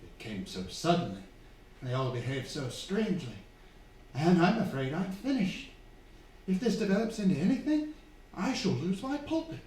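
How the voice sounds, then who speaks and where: A male actor plays a frightened young parson in a staged radio drama. His voice is high and jumps around widely, anxious and halting. It rings through a very echoey theatre. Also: sing-song, a little mellow. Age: young adult. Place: theatre stage, live performance. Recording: very echoey hall, distant mic, faint noise.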